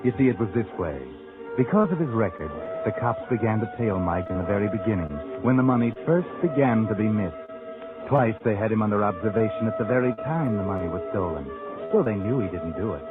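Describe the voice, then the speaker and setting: An actor playing a sardonic, knowing narrator of suspense tales in 1940s radio style, confiding and teasing dramatically. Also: male voice, lowish voice, lively intonation, brisk, close to an everyday voice. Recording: muffled vintage radio recording over loud music.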